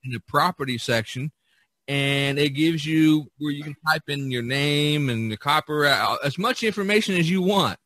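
A man's voice: a little high, normal speed, a bit bright, no echo. A man hosting a podcast, chatting casually and giving advice in an emphatic, self-deprecating way, often repeating himself. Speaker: podcast host and artist.

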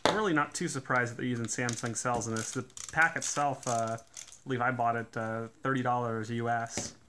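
Guy taking apart tool batteries at his bench, bright voice, lots of uhs, faint hiss behind. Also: medium pitch, fairly quick. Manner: relaxed, many "uh" fillers, technical detail. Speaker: DIY tool reviewer. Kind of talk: casual hands-on teardown talk. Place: indoors at a workbench.

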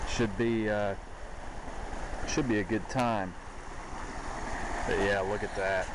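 Man, 40s, casual outdoor vlogger; low-ish, unhurried, filler-heavy; arm's-length camcorder, noisy river ambience. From western North Carolina. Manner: relaxed, rambling, many fillers. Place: forest campsite and riverside woods, outdoors. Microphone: handheld camera at arm's length, walking.

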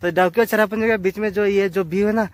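Fast-talking young man vlogging outdoors. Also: high voice, dry recording. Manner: chatty, excited, addresses viewers directly. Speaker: travel vlogger. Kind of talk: casual travel vlog narration. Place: windy hilltops and a swaying suspension bridge outdoors. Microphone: phone camera on a selfie stick. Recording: clear wind noise outdoors.

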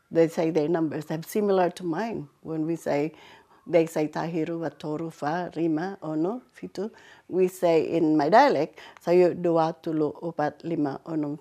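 Low, mellow Filipino woman. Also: moderate pace. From Philippines. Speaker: interviewee. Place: indoor sitting room.

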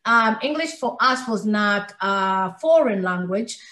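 A woman's voice: mid-range voice, animated, measured pace, bright, forceful tone, echoey room. A woman from Somalia who grew up in Kenya, a podcast guest speaking earnestly and emphatically in conversation. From Somalia, raised in Kenya. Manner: earnest and emphatic, repeating words for stress. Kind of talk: heartfelt conversational interview.

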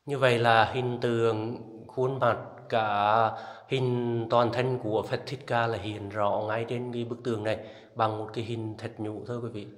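A man's voice: medium pitch, little pitch movement, normal speed. A man giving an enthusiastic guided tour to viewers, inside a limestone cave. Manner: addresses viewers often, enthusiastic. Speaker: travel vlogger guiding viewers. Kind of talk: casual guided tour narration. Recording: echoey cave with long reverb.

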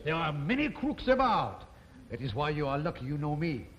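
A sly French swindler who oozes politeness. His voice sits high and swoops around. The old TV soundtrack is muffled, with faint hiss. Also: sing-song, moderate pace. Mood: ingratiating. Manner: oily, exaggerated politeness. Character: smooth-talking French con man, sly and ingratiating. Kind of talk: 1950s sitcom acting.

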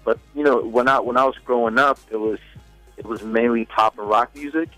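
A man's voice: mid-range voice, steady tone, a little fast, a bit bright, no echo, soft background music, low-quality recording. A young man whose first language is Swedish, talking casually as a singer guest in a radio interview, full of "you know" fillers. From Sweden. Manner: laid-back, many fillers like "you know".

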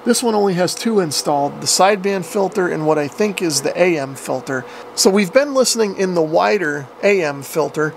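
A man's voice: sits high, animated, fairly quick, gravelly. A man giving a casual, informal hands-on equipment tutorial in an explanatory way, recorded on a camera microphone. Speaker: radio hobbyist demonstrating equipment. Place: indoor workshop with radio gear. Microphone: camera microphone at a short distance. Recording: noisy camera mic with fan hum. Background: cooling fan noise.

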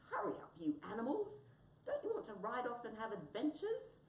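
A woman's voice: deep voice, big pitch swings, moderate pace, vocal fry, darkish tone, full character voice. A woman voicing a proud, conceited, indignant male tabby cat in a storybook, with theatrical huffiness. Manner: haughty, huffy, theatrical. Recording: roomy, distant mic, faint noise.

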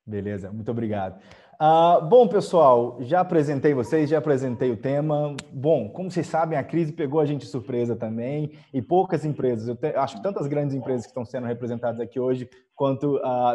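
A man's voice: mid-range voice, dark tone. A Brazilian man in his thirties moderating an online panel conversationally, speaking from a room at home on a video call.

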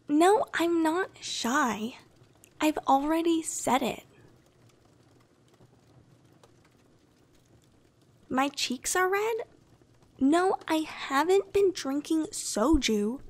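A woman playing a shy, affectionate young anime-style girlfriend in a soft, often whispered, intimate ASMR roleplay. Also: sits high, heavily acted. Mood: coy, bashful. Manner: slow, gentle, intimate, coy.

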